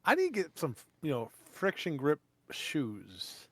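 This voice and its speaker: A man's voice: on the high side, wildly swooping pitch, measured pace, a bit bright, no room sound. A man trading casual, joking banter as a tabletop game player.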